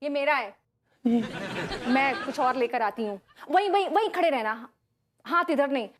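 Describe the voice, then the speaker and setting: A woman's voice: high-pitched, expressive, a little slow, a little hiss or hum. A woman playing a snooty, condescending housewife in a broad Hindi sitcom. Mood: dismissive. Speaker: sitcom actor.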